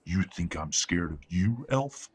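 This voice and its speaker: A man's voice: low voice, expressive, a little slow, slightly rough, heavily acted. A man playing a proud, domineering older werewolf father in an audio drama, condescending and menacing.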